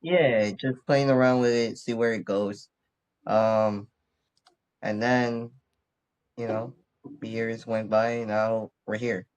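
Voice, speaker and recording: A man's voice: on the low side, little pitch movement, slow pace, long pauses, darkish tone. A man of twenty from Connecticut, a rapper guest talking casually and laughing often. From Bridgeport, Connecticut. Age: 20s. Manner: laid-back, laughs often, slangy. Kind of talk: casual interview chat.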